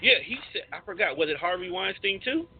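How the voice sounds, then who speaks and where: Husky American man on a phone-like line. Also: sits high, animated, quick pace. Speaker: radio show host. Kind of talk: casual topical talk. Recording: narrow tinny phone-like line.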